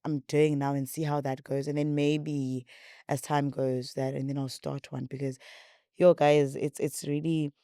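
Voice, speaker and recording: A young South African woman with a deep, warm, mellow voice, chatting to her listeners in a rambling, repetitive way. Her voice is recorded dry and close, with no room sound. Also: little pitch movement, a little slow, clean tone, dry recording. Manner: rambling, repetitive, chatty, says she talks a lot. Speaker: podcast host. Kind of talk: casual chat to viewers.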